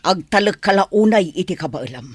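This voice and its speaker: Worried, animated Ilocano mother. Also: on the low side, moderate pace, husky, no room sound, clean studio sound. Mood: resigned, displeased. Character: worried, stern middle-aged wife and mother. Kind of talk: radio drama acting.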